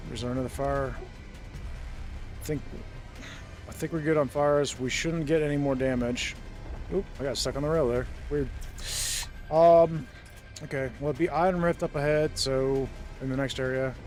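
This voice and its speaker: A man casually talking through a co-op space game on stream, chatty and quick to laugh, thinking aloud with plenty of ums. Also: medium pitch. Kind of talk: casual gameplay commentary. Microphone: desk mic with noise suppression.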